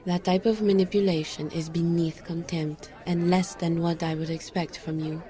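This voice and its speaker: Mellow, skeptical psychic woman played by an actress. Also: lowish voice, steady tone, normal speed, lightly acted. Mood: firm, guarded. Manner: dry, teasing, guarded. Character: skeptical, psychic enchanted woman, the detective's old flame. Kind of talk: audio drama acting.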